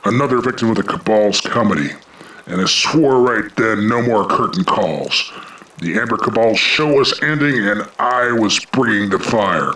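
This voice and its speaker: A man's voice: lowish voice, normal speed. A man playing a grim, wry dragon detective in a noir radio play, speaking in terse, dramatic phrases. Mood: grim, resolute. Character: hard-boiled dragon detective, grim, dogged, wry, male. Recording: echoey, with loud music and dripping water behind.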